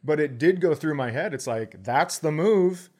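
A man's voice: a little high, lively intonation, normal speed, a little mellow, husky. An American man co-hosting a comedy podcast, telling casual stories in a profane, joking, storytelling manner.

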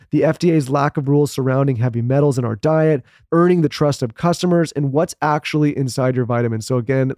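A man hosts a podcast and reads an upbeat, promotional introduction. He talks quickly in a mellow tone. The sound is dry and close. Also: medium pitch, no echo. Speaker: podcast host. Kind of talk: read show introduction.

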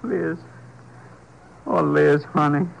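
A man's voice: on the high side, steady tone, drawn-out words, creaky, full character voice. A man playing a bitter, short-tempered, anxious ex-convict husband, in old-time radio drama style. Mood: remorseful. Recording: noisy vintage 1950s radio recording.

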